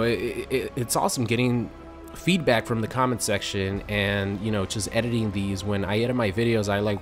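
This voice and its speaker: A young man chatting casually to his viewers about a fighting game, rambling with fillers, his voice slightly raspy from a sore throat. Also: on the low side. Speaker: gaming YouTuber. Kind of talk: casual chat to camera.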